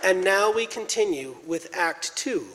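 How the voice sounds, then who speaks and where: A man's voice: high-pitched, expressive, a little slow, a loud crowd, very noisy. A man hosting a live radio show, announcing in a showy, jocular old-time style in a church hall. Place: large church, live stage performance.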